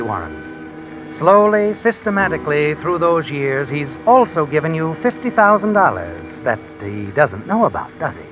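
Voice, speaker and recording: Sardonic, swooping radio mystery narrator. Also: male voice, on the high side. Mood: sly, taunting. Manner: taunting, theatrical, addresses the character directly. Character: sardonic, all-knowing sinister male narrator of fate. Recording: muffled old radio recording.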